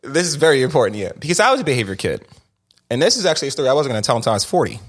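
A man's voice: mid-range voice, sing-song, rapid-fire. A young man hosting a podcast, telling his story in a candid, emphatic way and often restarting and repeating words. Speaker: podcast host.

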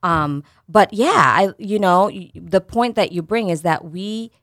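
A woman co-hosting a casual talk show, speaking calmly as a therapist, often affirming her partner. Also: medium pitch, measured pace, no room sound, clean studio sound. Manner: calm, affirming, frequent agreement. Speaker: co-host, therapist. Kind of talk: casual chat.

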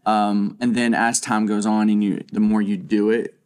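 A man's voice: lowish voice, level tone, moderate pace, a little mellow, smooth. A man hosting a ministry podcast, talking casually in a chatty, rambling, self-deprecating way. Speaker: podcast host, children's ministry leader. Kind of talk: casual chat.

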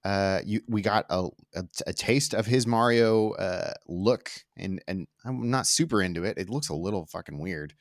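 A man with a very deep voice hosts a casual chat show, recorded very dry and close. He talks with enthusiasm and draws out the others' opinions. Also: normal speed, hardly any pauses, dry recording. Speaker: podcast host. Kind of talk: casual movie-trailer discussion.

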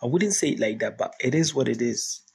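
A man's voice: mid-range voice, lively intonation, brisk, creaky, darkish tone, breathy edge, exaggerated voice. A man acting an easygoing young boyfriend in an audio play, with a Ghanaian accent. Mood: teasing. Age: young adult. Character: easygoing, teasing young boyfriend, devoted to his mother. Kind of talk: dramatic dialogue in an audio play.

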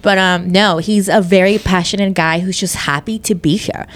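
A woman's voice: fairly low pitch, fairly even intonation, moderate pace. A young woman being interviewed about her club work, chatting casually with lots of "like" and frequent laughter. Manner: bubbly, laughs often, lots of "like". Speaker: club communications coordinator, guest.

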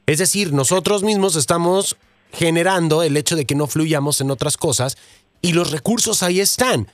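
A Mexican man with a fairly high voice hosts a radio interview in a very dry, close studio sound. He talks conversationally, summing up ideas reflectively and looking for agreement as he goes. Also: normal speed, no echo. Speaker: radio host.

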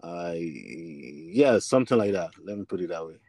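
Low-voiced Nigerian man, close and dry. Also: expressive, normal speed, vocal fry, darkish tone, breathy edge, no room sound. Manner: hesitant, repeats words as he searches for phrasing. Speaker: musician guest, bassist and singer. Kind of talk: interview answers, personal storytelling.

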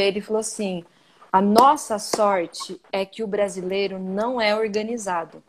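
A Brazilian woman being interviewed on a live video call, telling stories in an animated, outspoken way. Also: medium pitch, a little hiss or hum. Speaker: guest, coffee journalist and podcaster. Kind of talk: casual interview chat.

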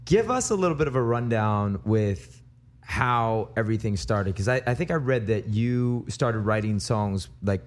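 A man's voice: on the low side, animated. Upbeat, chatty male radio DJ. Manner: upbeat, chatty, with quick restarts. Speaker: radio DJ and interviewer. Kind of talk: casual studio interview. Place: radio studio.

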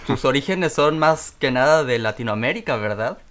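A man's voice: medium pitch, lively intonation, normal speed, fairly bright tone. A man hosting a podcast, upbeat and laughing often. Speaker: podcast host. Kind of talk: podcast chat.

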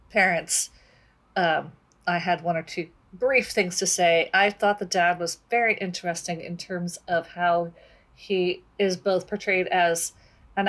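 A woman co-hosting a book discussion, talking casually in a measured, deliberate way. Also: on the low side, a bit bright.

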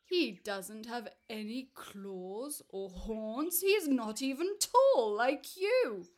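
A woman voicing a huge, slow-witted male giant in a storybook tale, growling and booming, loud and demanding. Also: very animated. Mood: puzzled, curious.

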